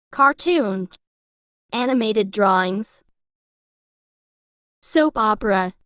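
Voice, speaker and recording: A woman reading an English lesson, saying each practice phrase twice in a slow, even way. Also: fairly high pitch, clear, resonant tone, dry recording.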